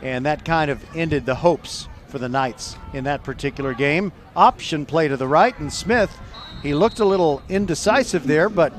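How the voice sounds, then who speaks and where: A man calling live high school football play by play, describing each play in detail. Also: normal speed. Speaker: play-by-play sports announcer.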